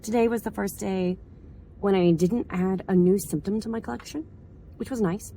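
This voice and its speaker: A woman with a hoarse voice from a cold, chatting in a casual, rambling vlog as she circles back to restate things. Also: mid-range voice, normal speed, warm tone, slight background noise. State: hoarse from a cold, slight sore throat. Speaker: vlogger, school staff member.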